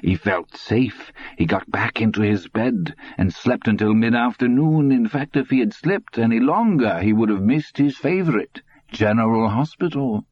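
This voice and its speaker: A man voicing a sly, cold old man, speaking slowly with silky, gloating mockery, in a dull lo-fi audiobook recording. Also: medium pitch, dry recording, voice pushed up, lightly acted. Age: older.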